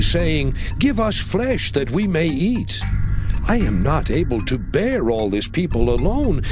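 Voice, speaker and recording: An actor playing an earnest, burdened leader of Israel in a dramatized scripture, speaking in impassioned, pleading declamation. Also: male voice, a little high. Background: music.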